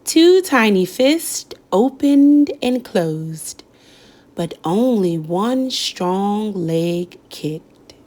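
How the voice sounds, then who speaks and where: A young woman reads a children's picture book aloud, taking her time and pausing for effect. Her voice rises and falls widely as she tells the story. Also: mid-range voice. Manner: slow, lively storytelling with dramatic pauses. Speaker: read-aloud narrator.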